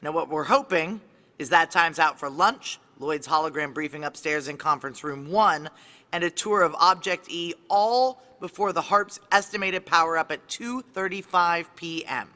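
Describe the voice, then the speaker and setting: A woman's voice: low voice, moderate pace, pushed and bright. An actress playing a tough, sardonic, profane female military security chief in a noir audio drama, blunt and wry. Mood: firm, commanding. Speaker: lead actor and narrator.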